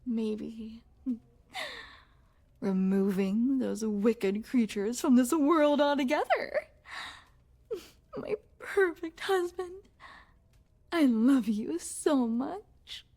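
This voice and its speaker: A woman's voice: fairly high pitch, drawn-out words, long pauses, mellow, exaggerated voice. A woman playing a possessive, secretly shapeshifting queen in an ASMR roleplay, speaking formally and regally with doting menace. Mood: sweetly menacing. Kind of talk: ASMR roleplay, scripted character acting addressed to the listener.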